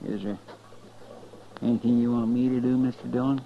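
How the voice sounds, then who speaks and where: An actor playing a loyal, deferential sidekick to a frontier marshal in a 1950s radio western, with a rural American West accent. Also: male voice, mid-range voice, flat delivery, brisk, vocal fry, slight background noise, natural voice. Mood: anxious, deferential. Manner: deferential, plain-spoken, questioning. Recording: dull 1950s radio recording, crowd behind.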